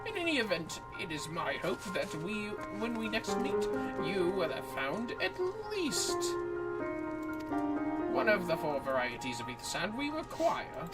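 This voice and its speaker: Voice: medium pitch, sing-song, unhurried, over loud music, poor audio. A streamer voicing a courteous, formal scholarly man from a video game, with theatrical old-fashioned diction. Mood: formal, concerned. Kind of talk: video game dialogue read aloud in character.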